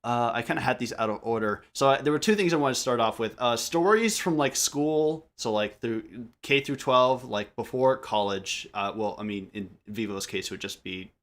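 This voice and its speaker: A man's voice: medium pitch, normal speed, hardly any pauses. A man hosting a casual group chat, rambling, joking and often interrupting himself. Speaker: podcast host.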